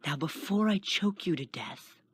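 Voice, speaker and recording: A man reading a story as a menacing, snakelike skinny prisoner in a smooth whisper. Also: on the high side, lively intonation, a little slow, a bit bright, no room sound, pitched above the performer's own voice, heavily acted. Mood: quiet menace.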